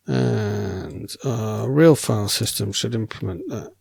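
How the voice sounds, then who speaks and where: A man thinking aloud while writing code as a programming tutor, relaxed and wry, correcting himself as he goes, and losing his voice. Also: on the low side, slow pace, creaky, husky, no room sound, studio quality.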